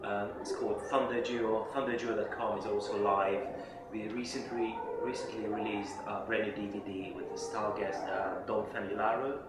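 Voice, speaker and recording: A man who grew up in Hungary speaks English as a second language in a low voice, eagerly praising things and often repeating words as he searches for the next one. He sits some distance from the mic in a very echoey hall. Loud crowd noise fills the background. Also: level tone, moderate pace. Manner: enthusiastic, repeats words while searching for them. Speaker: interviewee drummer. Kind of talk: casual interview answers. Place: busy drum fair hall.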